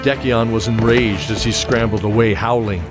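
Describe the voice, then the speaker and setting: Low-pitched man narrating dramatic fantasy. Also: steady tone, normal speed. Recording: loud music and effects behind.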